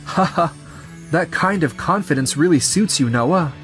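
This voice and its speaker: A man's voice: fairly high pitch, lively intonation, a little slow, a bit bright, soft background music. A man voicing a humble, appreciative young company boss in a scripted manga dub, polite and sincere. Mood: grateful.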